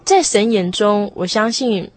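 A woman's voice: medium pitch, expressive, unhurried, darkish tone. A woman hosting a conversational religious talk in an earnest, reassuring manner. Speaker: radio show host.

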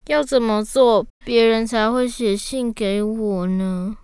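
A woman's voice: a little high, steady tone, slow, a little mellow. A woman voicing a shy, earnest little puppy boy who delivers mail in a children's audio drama, childlike, eager and sincere. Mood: wistful, hopeful.

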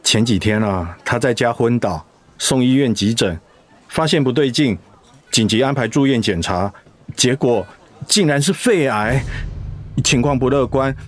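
A man voicing a dutiful middle-aged son and schoolteacher in a radio drama, speaking in a steady, subdued way. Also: mid-range voice, measured pace. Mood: somber.

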